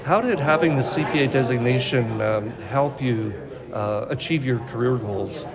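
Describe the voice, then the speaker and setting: A man's voice: medium pitch, normal speed. A man interviewing guests, asking friendly questions with brief upbeat replies. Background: crowd chatter.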